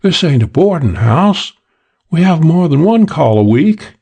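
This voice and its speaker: Man voicing a brassy young switchboard girl, sassy, slangy, high. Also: very animated, a little slow, gravelly, warm tone, breathy edge, full character voice. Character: brassy young woman hotel switchboard operator.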